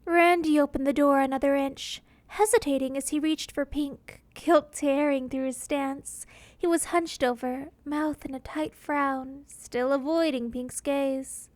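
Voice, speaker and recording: A woman's voice: sits high, fairly even intonation, a little slow, darkish tone. A woman reading fan fiction as a narrator, in a scripted, dramatic storytelling style.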